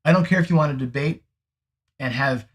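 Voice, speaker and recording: A man's voice: fairly high pitch, a little fast. A middle-aged man hosting a casual live-stream chat. He rambles, restarts often and ends many points with "right?", and his room sounds a little echoey. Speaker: host. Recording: echoey room, mic off-axis.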